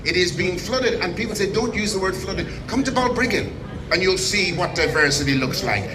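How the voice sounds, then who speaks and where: An Irish man giving an impassioned, rousing speech at an outdoor street protest, shouting at times. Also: high-pitched, lively intonation, moderate pace. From Ireland. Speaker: rally speaker. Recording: roomy and distant over loud crowd noise. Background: large crowd.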